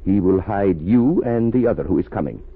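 An actor playing a cold, domineering spy ringleader with a husky voice and commanding manner in 1930s radio drama. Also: male voice, lowish voice, animated, normal speed. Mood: stern, impatient. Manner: commanding, stern. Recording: tinny phone-like 1930s radio recording.

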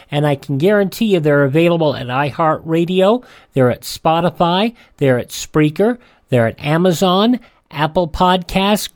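A man's voice: high-pitched, no room sound. Man, radio host, folksy, courteous, close dry studio sound, scripted sign-off.

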